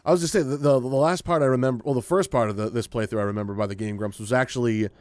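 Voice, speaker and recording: A man's voice: medium pitch, fairly even intonation, racing, a little mellow, no echo. A man hosting a gaming video with casual, rambling commentary, thinking aloud as he plays. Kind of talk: casual let's play commentary.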